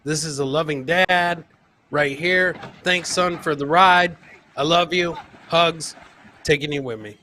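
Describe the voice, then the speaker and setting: A man's voice: on the high side, measured pace, pushed and bright, a little hiss or hum. A man hosting a comedy podcast, casually commenting on funny video clips and repeating his own catchphrases. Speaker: podcast host.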